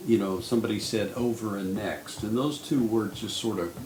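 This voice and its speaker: An older man speaking as a marriage counselor in a casual panel discussion, thoughtful and measured with frequent restarts. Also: lowish voice. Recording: echoey room, faint noise.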